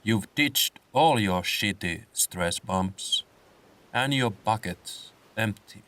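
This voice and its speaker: Slow, low-voiced man guiding meditation. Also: expressive, pushed and bright, distant mic. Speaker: guided meditation narrator. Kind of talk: slow scripted guided meditation with comic swearing.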